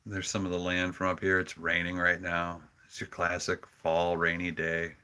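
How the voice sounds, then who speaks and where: A man's voice: low-pitched, completely flat delivery, moderate pace, sharp tone, clear, resonant tone. A man chatting casually and affectionately as he hosts a pet livestream. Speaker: pet channel streamer. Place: on a farm.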